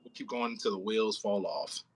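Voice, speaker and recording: A man's voice: on the low side, normal speed, heavy background noise. A man talking casually and informally with slang, in the role of writer and host at a rehearsal.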